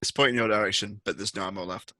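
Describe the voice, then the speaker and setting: A man's voice: lowish voice, steady tone, very fast, pushed and bright, no room sound. A man narrating a tabletop roleplaying game as game master, describing scenes to players.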